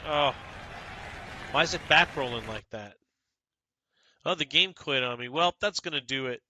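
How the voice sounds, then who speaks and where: Man, gaming streamer, casual chat, very bright voice, dry sound. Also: mid-range voice, no room sound. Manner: relaxed, chatty, talks to viewers by name. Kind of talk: casual commentary over gameplay.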